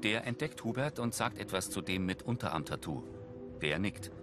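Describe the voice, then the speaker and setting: A man's voice: fairly low pitch, lively intonation, normal speed, over loud music. A man reading audio description for a TV series in a neutral, matter-of-fact way. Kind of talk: scripted descriptive narration of a TV series.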